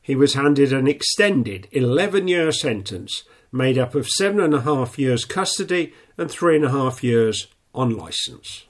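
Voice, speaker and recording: A man reading local news in a plain, steady way, a little snuffly with a stuffy nose. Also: mid-range voice, measured pace, few pauses. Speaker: newsreader.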